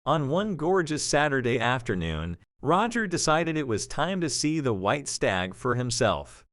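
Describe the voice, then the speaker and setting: A man's voice: mid-range voice, big pitch swings, measured pace, a bit bright, no echo, clean studio sound. A man narrating a scripted story as the narrator of an audio drama.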